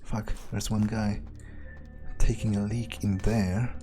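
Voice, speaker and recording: A man acts out a sardonic, wary male vampire in a video game, thinking aloud in a low, mellow voice. He mutters very slowly, crude and hesitant, pausing as he muses. Faint music plays behind him. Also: flat delivery. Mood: musing, wary. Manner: muttering, hesitant, musing with pauses and swearing. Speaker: gamer playing in character.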